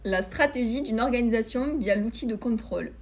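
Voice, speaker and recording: A woman's voice: on the high side, brisk. A young woman playing a quiz contestant, reading out definitions with small restarts. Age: young adult. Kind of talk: reading prepared quiz answers.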